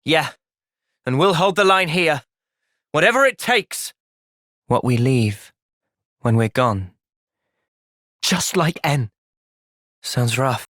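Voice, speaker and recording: A man with an English accent voicing an earnest, thoughtful young soldier hero in a fantasy video game, speaking in a measured, reflective way. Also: fairly high pitch, expressive, fairly bright tone, no room sound, clean studio sound. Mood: firm, resolute, then quiet, somber. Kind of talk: video game cutscene acting.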